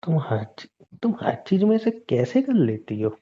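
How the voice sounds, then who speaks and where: A man's voice: high-pitched, sing-song, normal speed, breathy edge, lo-fi sound, exaggerated voice. A man playing a teasing, exasperated husband in a casual, conversational domestic audio drama. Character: teasing, exasperated husband bickering with his injured wife. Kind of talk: improvised-sounding domestic audio drama, casual Hindi banter.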